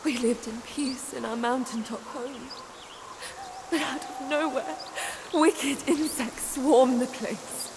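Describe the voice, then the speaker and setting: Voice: sits high, fairly even intonation, slow pace, background noise. An actor playing a meek, mournful widow in a video game, formal, deferential and plaintive. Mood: humble grief. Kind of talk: dramatic video game cutscene acting.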